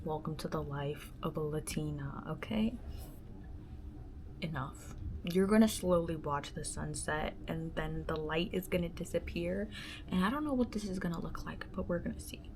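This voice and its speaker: A woman hosting her own podcast in a reflective personal monologue, musing and halting with many fillers, often dropping into a soft half-whisper. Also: sits low, noisy background. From born in the Dominican Republic, raised in the United States. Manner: gestures with her hands while talking. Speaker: solo podcast host.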